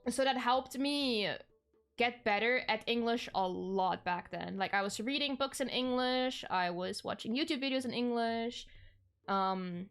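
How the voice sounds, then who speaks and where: A young woman, her voice pitched a little above average and smooth, chats loosely with her viewers from a home streaming setup, trailing off and correcting herself as she goes. Soft music plays faintly underneath. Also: unhurried. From Germany. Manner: chatty, rambling, frequent ums and self-corrections. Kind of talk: casual chat with viewers.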